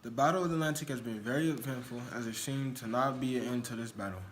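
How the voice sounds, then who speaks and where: A man's voice: medium pitch, fast, a little hiss or hum, low-quality recording. A man reading a scripted news report aloud in an amateur recording.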